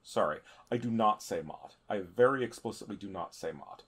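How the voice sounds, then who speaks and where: Halting man with a lowish voice. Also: lively intonation, breathy edge. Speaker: tabletop game player. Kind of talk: casual game-table chat.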